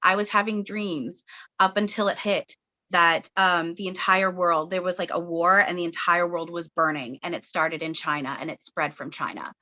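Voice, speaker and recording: Woman, 30s, from Canada, lowish bright voice, earnest and rambling. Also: steady tone, moderate pace, highs cut off. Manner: rambling, filler-heavy, earnest. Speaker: guest channeler. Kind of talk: casual webinar chat.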